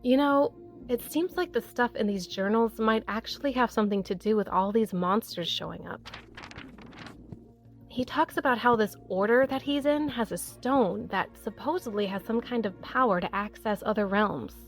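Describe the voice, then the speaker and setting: A woman's voice: fairly high pitch, normal speed, soft background music, lightly acted. A woman acting a determined, open-minded survivor in an audio drama, earnest and persuasive.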